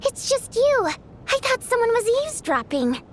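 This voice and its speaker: An actress voicing an excitable, gossipy young woman who talks in a chatty way. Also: very high-pitched, normal speed, slight background noise. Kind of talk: video game voice acting.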